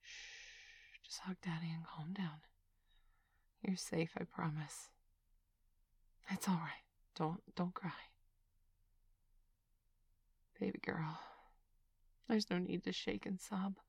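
A woman's voice: medium pitch, steady tone, unhurried, creaky, pauses often, slightly rough, no echo. A woman acting a flustered parent in a scripted audio roleplay, who swings between scolding and soothing. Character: flustered protective parent, middle-aged.

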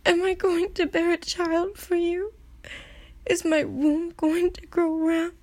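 A woman playing a proud, distressed adult demigoddess in an audio roleplay, with halting, breathy exclamations. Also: very high-pitched, full character voice. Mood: distraught.

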